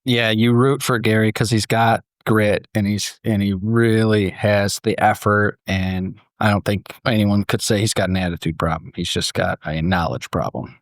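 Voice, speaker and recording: A man's voice: fairly low pitch, normal speed, hardly any pauses, no echo, clean studio sound. A man hosting a podcast, talking in a casual, conversational way and reading a story aloud to a panel. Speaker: podcast host.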